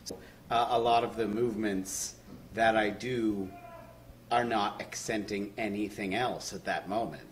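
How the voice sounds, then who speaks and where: Slow, deliberate man in his forties. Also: lowish voice, fairly even intonation, a little hiss or hum. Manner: deliberate, halting phrasing. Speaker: amateur stage actor. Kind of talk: cast interview. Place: indoor lounge.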